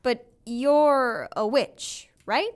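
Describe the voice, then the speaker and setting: A woman's voice: high-pitched, extremely slow. A woman playing a bewildered teenage zombie girl who dramatically narrates her own story in an audio drama. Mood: bewildered, curious. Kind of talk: scripted first-person audio drama narration.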